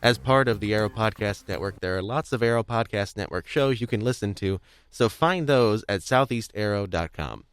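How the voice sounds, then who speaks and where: A young man casually hosting a podcast, talking in a rambling, halting way with frequent restarts. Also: lowish voice, clear, resonant tone, no echo. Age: young adult. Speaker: podcast host.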